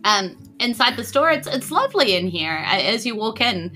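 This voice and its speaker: A woman's voice: on the high side, very animated, moderate pace, pushed and bright, faint music behind. A woman with a New Zealand accent improvising tabletop game narration as game master, in an informal, conversational manner. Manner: informal, conversational, many "you know"s.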